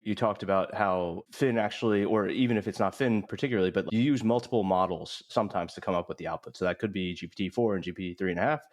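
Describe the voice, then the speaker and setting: A man's voice: low voice, fast, hardly any pauses. A man hosting a podcast, asking curious, loosely phrased technical questions. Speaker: podcast host. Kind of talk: interview questions.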